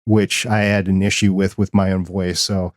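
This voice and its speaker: A man hosting a podcast interview in a casual, measured way, with a quiet voice and a solid low end, on a broadcast microphone in a home studio. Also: little pitch movement, dry recording. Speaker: podcast host. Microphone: dynamic broadcast microphone.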